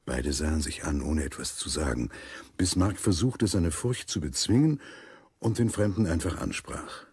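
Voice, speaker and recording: The storyteller of a children's radio adventure. His voice is extremely deep and rough-husky, recorded dry and close, and he tells the tale gravely without hurry. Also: expressive, moderate pace, darkish tone, dry recording, exaggerated voice. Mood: suspenseful storytelling. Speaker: narrator. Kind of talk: scripted radio play narration for children.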